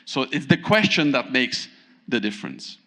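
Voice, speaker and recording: A man from Thailand giving a conference keynote, speaking in an animated way, often turning to the audience with questions. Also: mid-range voice, moderate pace. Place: large conference hall. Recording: long hall reverb.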